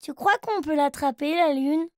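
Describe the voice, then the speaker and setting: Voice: high-pitched, moderate pace, a little mellow, dry recording, clean studio sound, close to an everyday voice. An actor voicing a cheerful, curious little boy bear in a children's cartoon, with a childlike, playful delivery in simple phrases. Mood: curious, delighted. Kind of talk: children's cartoon dialogue and rhymes.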